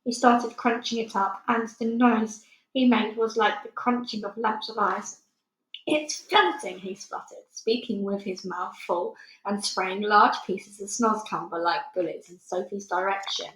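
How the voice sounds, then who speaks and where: A woman's voice: mid-range voice, steady tone, measured pace, a bit bright. Woman reading a storybook, echoey room. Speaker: storybook reader. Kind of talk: scripted narration of a children's novel.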